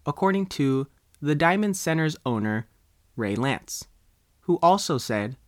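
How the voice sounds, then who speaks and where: A man's voice: fairly high pitch, lively intonation, a little slow, no echo, studio quality. An American man reading a scripted news article aloud as a reporter.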